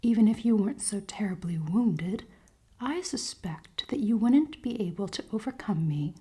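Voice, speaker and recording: A woman playing a cold-hearted, commanding elven queen in a fantasy ASMR roleplay, soft and often whispering, with formal, teasing speech. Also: medium pitch, expressive, normal speed, breathy edge. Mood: haughty, amused. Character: cold-hearted, dominant elven queen, haughty, secretly caring.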